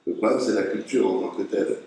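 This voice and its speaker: Low-voiced man, frank and halting. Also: vocal fry, warm tone. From Tunisia. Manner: halting, many restarts, blunt and frank. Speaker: artist being interviewed. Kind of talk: casual conversation. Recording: echoey, distant mic, noisy background.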